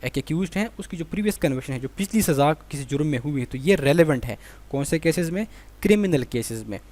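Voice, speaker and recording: Male lecturer with a Pakistani accent and a slightly high voice. He reads out exam items, then explains each one, often asking himself a question and answering it. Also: expressive, fast, darkish tone. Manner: explanatory, repeats points with rhetorical questions. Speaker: law lecturer.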